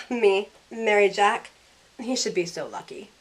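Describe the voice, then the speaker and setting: A woman's voice: medium pitch, expressive, slow pace. A woman playing a snarky young heroine in an audio drama, narrating in the first person and speaking her lines with wry sarcasm. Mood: teasing. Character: snarky young woman thrown back aboard the Titanic. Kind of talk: first-person scripted narration and acted dialogue.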